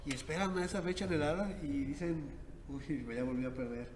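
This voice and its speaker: Priest with a reverberant sound. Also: male voice, on the high side, brisk, darkish tone. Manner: thoughtful, many tag questions. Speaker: priest and podcast host. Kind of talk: reflective conversational podcast. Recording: echoey hall with background noise.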